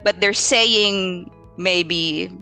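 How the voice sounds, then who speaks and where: Bright-voiced, slow-paced Filipino woman teaching. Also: on the low side, faint music behind. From Philippines. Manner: halting, thinking aloud, searching for words. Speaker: English teacher hosting a live Q&A. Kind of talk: informal live teaching advice.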